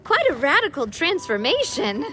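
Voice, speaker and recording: A woman voicing a friendly, gentle young woman in a video game. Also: high voice, huge pitch swings, moderate pace, music in the background. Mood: admiring, flustered.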